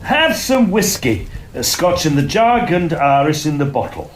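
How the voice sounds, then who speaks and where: Young Victorian Oxford freshman, British. Animated, courteous, studious, audio drama style, faint noise behind. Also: fairly high pitch, unhurried, poor audio. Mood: genial. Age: young adult.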